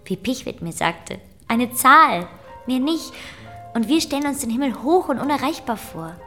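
Aristocrat's wife: fairly high voice, dreamy, soft, composed, radio drama with faint music behind. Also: female voice, sing-song, moderate pace, exaggerated voice. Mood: wistful. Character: dreamy, gentle lady, wife of an ailing aristocrat. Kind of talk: German literary radio play acting.

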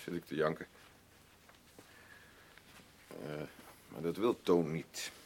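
An actor playing a gruff, defensive working-class father in grief, speaking blunt, halting, colloquial lines in a naturalistic radio drama. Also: very deep voice, animated, heavily acted. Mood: mournful, stubborn. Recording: clearly noisy radio play recording with ambience.

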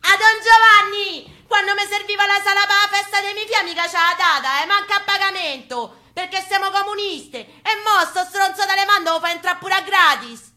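A woman voicing a combative communist mother and activist who shouts in outrage with a Roman accent, coarse and colloquial, in a live storytelling reading. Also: very high-pitched, normal speed, few pauses, pitched above the performer's own voice, exaggerated voice. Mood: outraged.

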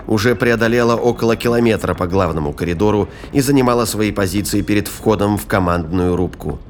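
A man reading scripted narration for an audio drama in a measured, detached storytelling manner. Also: sits low, slight background noise.

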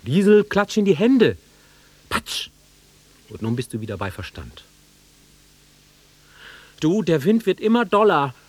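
A man's voice: a little high, big pitch swings, unhurried, long pauses, a little mellow, no echo. An actor playing an awkward, sentimental middle-aged man in a German radio drama, self-deprecating and swinging between murmurs and outbursts. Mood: agitated, insistent.

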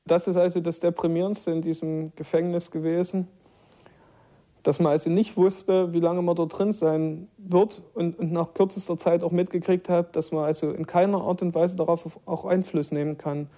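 A man's voice: on the high side, flat delivery, moderate pace, warm tone. A man recalls his own experience reflectively, in long flowing sentences. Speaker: former detainee, eyewitness. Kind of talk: spoken recollection.

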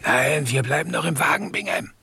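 An actor playing a wry, cautious, commanding British police inspector in a German radio crime drama, terse and dryly ironic. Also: male voice, a little high, lively intonation, a little fast, gravelly, bright, forceful tone, breathy edge, dry recording, full character voice.

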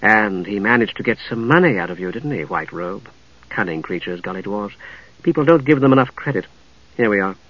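Man voicing a regal, soft-pitched lady knight, muffled. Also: lively intonation, a little fast. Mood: brisk, assured. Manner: cultured, educated, composed. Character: regal, knowing middle-aged female knight in disguise.

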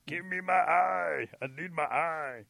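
A man's voice: on the high side, slow, creaky, sharp tone, no echo, pitched above the performer's own voice, full character voice. A man voicing a hapless male possum in a folk tale, plaintive and drawn out. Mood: feeble, pleading.

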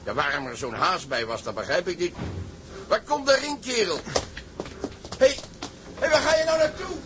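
High-pitched, hearty sailor. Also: male voice, lively intonation, normal speed, noisy background. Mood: excited, welcoming. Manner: hearty, familiar. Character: excitable, hearty sailor mate. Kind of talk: Dutch audio drama acting.

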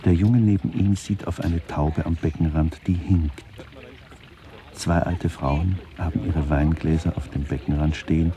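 A man with a very deep voice narrates a radio play. His tone is dark and mellow, and he reads the literary text as scripted narration. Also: steady tone, measured pace.

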